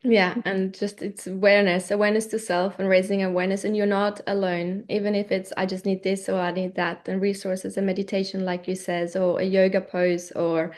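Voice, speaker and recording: A woman's voice: medium pitch, level tone, a little fast, hardly any pauses, a little mellow. A woman from Switzerland talking casually as the host of a conversation.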